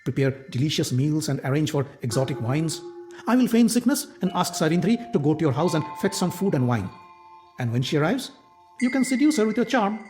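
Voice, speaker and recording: A man's voice: a little high, very animated, brisk, a little mellow, faint music behind. A jealous, scheming queen, voiced by a male narrator, sly and coaxing. Her tone rises when she is persuading someone. She speaks in the manner of a storybook character.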